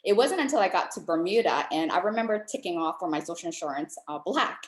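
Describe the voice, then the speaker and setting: A woman's voice: lowish voice, lively intonation, quick pace, roomy sound. A woman from Southeast Asia speaking as a panelist, telling her story earnestly. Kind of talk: panel discussion.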